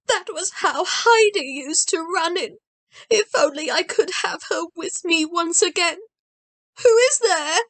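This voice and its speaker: A woman's voice: very high-pitched, lively intonation, unhurried, fairly bright tone, voice pushed up, exaggerated voice. A woman reading aloud, voicing a frail, blind old grandmother who speaks tenderly and tearfully. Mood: longing.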